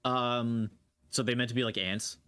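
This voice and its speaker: A man's voice: medium pitch, steady tone, normal speed, pushed and bright, clear, resonant tone, dry recording. A man streaming casual reaction commentary, rambling and playful, often questioning what he watches. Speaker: streamer reacting to horror videos.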